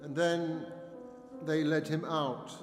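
A man's voice: on the high side, fairly even intonation, takes it slowly, music in the background. A man narrating scripture as a solemn dramatic reading in a reverberant hall. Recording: echoey hall with long reverb.

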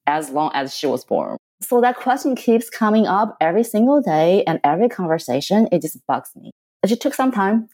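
Low, warm-toned woman, Chinese first language, earnest and halting, reflective chat. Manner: earnest, halting with restarts and repeated words. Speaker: coaching client. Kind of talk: reflective casual conversation.

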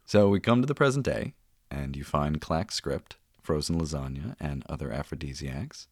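A man hosting a relaxed, conversational interview, recorded on a close mic in a small closet space. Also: very deep voice, moderate pace, dry recording. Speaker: podcast host and audio performer. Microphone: close studio-style mic in a closet.

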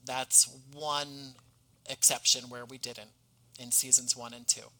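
A man's voice: mid-range voice, little pitch movement, slow, sharp tone, rough recording. A man chatting casually as a podcast guest, talking as an audio drama creator. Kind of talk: casual chat.

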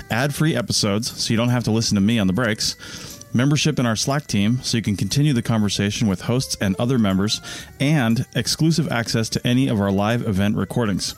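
A man who hosts podcasts, reading a scripted promotional announcement. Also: mid-range voice. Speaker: podcast network founder and host.

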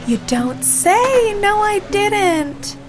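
A woman's voice: very high-pitched, very animated, very slow, heavily acted. A woman playing a coquettish young society woman in a period drama, chirpy, gushing and affected. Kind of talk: old-fashioned period drama acting. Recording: music under the voice. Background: music.

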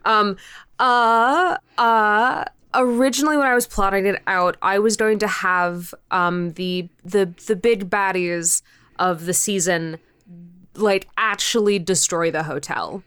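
Young female interviewee, clear voice, casual and animated, halting informal phrasing, close dry recording. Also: mid-range voice, no echo. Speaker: podcast creator, interview guest.

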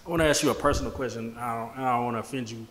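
A man's voice: medium pitch, fairly even intonation, rapid-fire, a bit bright. A man co-hosting a casual interview, asking a personal question carefully and with hedges. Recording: echoey room with hiss.

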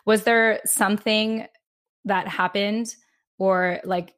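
A woman's voice: mid-range voice, fairly even intonation, takes it slowly, fairly bright tone. A woman hosting a podcast, asking a guest questions with eager curiosity. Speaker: podcast host. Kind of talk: interviewing.

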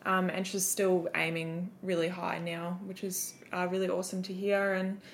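A woman's voice: lowish voice, little pitch movement, slight background noise. A woman co-hosting a podcast in a laid-back, casual way. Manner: laid-back, drawn-out, vocal fry. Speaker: podcast co-host. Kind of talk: casual chat.

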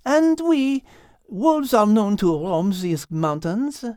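An elegant, clever French cat for a children's audiobook. The voice is very high and lilting, with a French accent. She explains things precisely, with eager delight, in a close dry recording. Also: sing-song, takes it slowly, darkish tone, no echo. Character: elegant female French cat, clever, botany-loving teacher.

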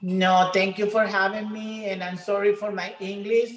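A woman from Venezuela with a Venezuelan Spanish accent, a gym trainer talking as an interview guest in halting English with many restarts. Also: mid-range voice, little pitch movement, reverberant. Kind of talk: casual interview.

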